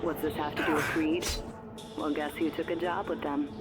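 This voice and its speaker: A woman's voice: low voice, level tone, moderate pace, close to an everyday voice. An actress playing a brash, world-weary female informant in video game cutscene dialogue, talking bluntly and informally. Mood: urgent, blunt. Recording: loud music over the voice.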